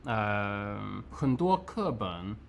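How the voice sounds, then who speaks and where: A man chatting as a guest on a video call, speaking haltingly with many fillers. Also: on the low side, sing-song, slight background noise. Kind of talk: casual multilingual chat.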